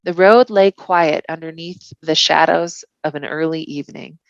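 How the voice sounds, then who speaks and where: A woman reading a novel aloud as an expressive storybook narration. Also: deep voice, moderate pace, no echo, muffled recording. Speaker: volunteer reader.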